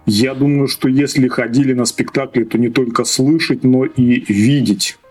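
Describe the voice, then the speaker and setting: A man's voice: medium pitch, fairly even intonation, moderate pace. A man in his thirties from Russia, a podcast guest telling his story in a hesitant way, restarting phrases and laughing often. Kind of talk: casual podcast chat.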